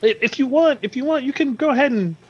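A man's voice: extremely high pitch, quick pace, husky, dull audio. A man playing a condescending boss at a wizard outpost in an improvised comedy sketch, stammering and repeating himself sarcastically. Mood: patronizing.

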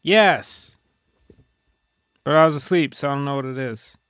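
A man co-hosting a podcast, chatting casually about movies in a hesitant, deadpan way. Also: mid-range voice, unhurried, clear, resonant tone, no room sound, studio quality. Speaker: podcast co-host.

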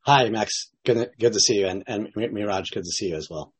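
Husky-voiced man in his late fifties. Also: fairly low pitch, brisk. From United States. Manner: relaxed, hesitant, many restarts and fillers. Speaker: company CEO, interview guest. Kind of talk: casual interview answers.

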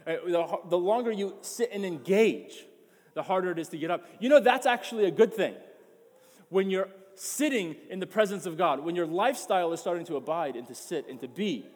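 A man's voice: high-pitched, expressive, a little fast, darkish tone, long reverb tail. A man preaching a sermon as pastor, passionate and emphatic, often repeating words as he builds his point.

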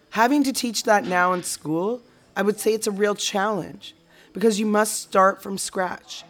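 A woman's voice: lowish voice, natural voice. A woman playing a reflective, frustrated teacher in a naturalistic audio drama conversation. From Canada.

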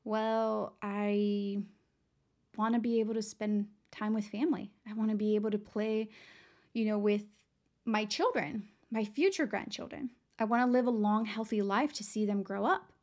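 A young adult woman from Texas hosting a casual motivational podcast, her voice raspy and worn, chatty and upbeat as she talks straight to her listeners. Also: medium pitch, steady tone, moderate pace, darkish tone. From San Antonio, Texas. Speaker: podcast host.

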